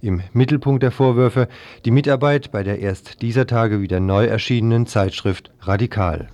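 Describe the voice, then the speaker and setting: A man's voice: medium pitch, moderate pace, a little mellow, no echo. A man presenting scripted news as a radio presenter. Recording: dry 1990s radio recording.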